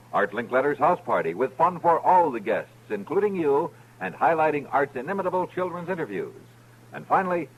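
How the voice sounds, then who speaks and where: Lively vintage American radio announcer, male. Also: medium pitch, poor audio. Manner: polished, salesmanlike. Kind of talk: 1950s scripted radio promo announcing. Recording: old radio broadcast with faint noise.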